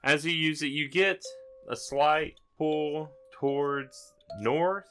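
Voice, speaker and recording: Bright-voiced male game master. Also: a little high, slow, soft background music. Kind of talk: improvised tabletop game narration.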